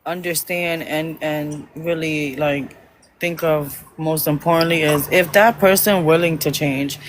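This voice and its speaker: A woman's voice: low voice, steady tone, measured pace, a little hiss or hum, low-quality recording. A woman giving blunt, emphatic advice in a group chat, with some swearing.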